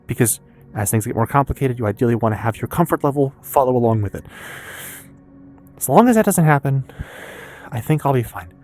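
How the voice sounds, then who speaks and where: A woman in her early twenties with an American accent talks candidly and casually in a confessional personal vlog, rambling haltingly with pauses and some swearing. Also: extremely low pitch, lively intonation, a little mellow, faint music behind. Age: early 20s. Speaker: vlogger and streamer.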